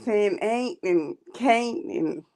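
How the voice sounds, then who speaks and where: A woman from California in a casual panel chat, talking in a measured, laid-back way. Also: mid-range voice, expressive, dark tone.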